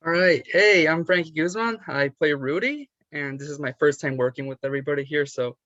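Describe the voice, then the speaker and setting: A young actor from Arizona gives a casual self-introduction. The man's voice is bright and a little high, while the call audio is dry and slightly dull. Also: fairly quick, no echo. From Arizona, USA.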